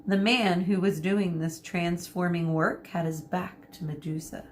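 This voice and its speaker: A woman teacher reading a children's book aloud, pausing to explain words and giving the characters lively voices. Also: fairly low pitch, a little hiss or hum. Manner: explains words for kids mid-story.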